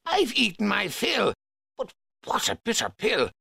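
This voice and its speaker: A man's voice: huge pitch swings, unhurried, vocal fry, bright, forceful tone, slightly rough, no echo, clean studio sound, exaggerated voice. A man voicing a greedy, demanding old sage in a rhyming storybook tale. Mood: grumbling. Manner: shrill, fussy.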